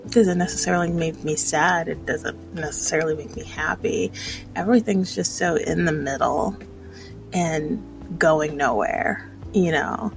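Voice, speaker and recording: A woman's voice: sits low, a little slow, creaky, over music, low-quality recording. A woman in her thirties chatting casually in a personal vlog, rambling and hesitant as she reflects on herself. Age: 30s. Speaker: vlogger.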